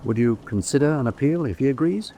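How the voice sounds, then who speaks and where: A man's voice: medium pitch, animated, quick pace, warm tone, natural voice. A man playing an observant, loyal prisoner-of-war officer in a radio drama. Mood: attentive. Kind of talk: vintage-style war radio drama acting. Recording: noisy track with background ambience.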